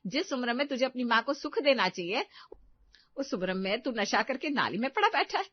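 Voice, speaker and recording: A woman's voice: fairly high pitch, sing-song, moderate pace, no echo, highs cut off. A woman voicing a nagging, tearful, overprotective middle-aged mother in a comedic Hindi audio story. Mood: scolding, wounded. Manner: scolding, emotionally blackmailing.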